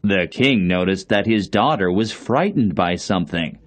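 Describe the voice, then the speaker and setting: A man's voice: sits low, expressive, normal speed. A man narrating a children's fairy tale as a scripted storybook reading.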